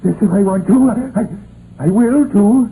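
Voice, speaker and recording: A guilty, frightened miner in an old radio play. The voice is high and very muted in tone, slow and halting with agitated repetitions. Faint noise of an aged broadcast surrounds it. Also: male voice, dark tone, poor audio. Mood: cornered, defiant. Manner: agitated, stammering, repeats himself. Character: desperate, frightened Welsh miner turned guilty poisoner. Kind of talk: 1950s radio drama acting.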